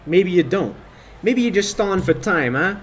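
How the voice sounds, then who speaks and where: A man's voice: high-pitched, animated, normal speed, slight background noise. An actor playing a menacing, sneering young tough guy in a 1940s-style radio play, taunting as he goes.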